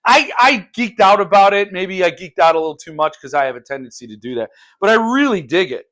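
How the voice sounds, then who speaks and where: A man with a slightly high voice speaks as a book vlogger. His delivery is casual and unscripted, as if thinking aloud to his viewers, with phrases that break off and pick up again. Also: animated, fairly quick, fairly bright tone.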